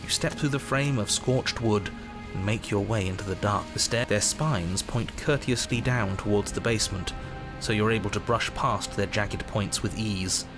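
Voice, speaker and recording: An actor playing a measured narrator of a grim fairy tale horror game, telling it in formal, solemn prose. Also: male voice, fairly low pitch, natural voice. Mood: ominous. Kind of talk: scripted dramatic narration. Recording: music under the voice. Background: background music.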